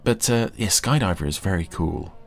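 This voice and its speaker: A man giving casual, musing commentary while watching a TV episode, often pausing mid-thought. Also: sits low, animated, vocal fry, faint music behind. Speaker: podcast commentator.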